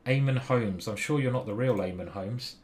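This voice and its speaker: A man's voice: mid-range voice, normal speed, a little mellow, slight background noise. A young British man streaming live, chatting casually and thinking aloud about his chess moves.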